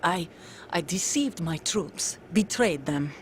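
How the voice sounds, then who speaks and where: A woman's voice: fairly low pitch, animated, takes it slowly, a little hiss or hum. A woman playing a grave, guarded undercover soldier in a video game, speaking in a serious, controlled, confiding way. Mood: sorrowful confession. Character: guarded female undercover soldier, grave mother of a stolen child. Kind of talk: dramatic video game cutscene acting.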